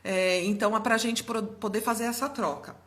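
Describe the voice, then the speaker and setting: A slightly hoarse young woman hosting a casual live stream as a business mentor, explaining things in a chatty way and often checking in with her viewers. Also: a little high, steady tone, moderate pace, fairly bright tone.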